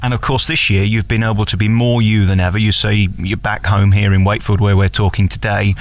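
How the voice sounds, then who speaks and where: Man, lowish pitch, creaky voice, effusive interviewer, conversational, emphatic. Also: level tone, fairly quick.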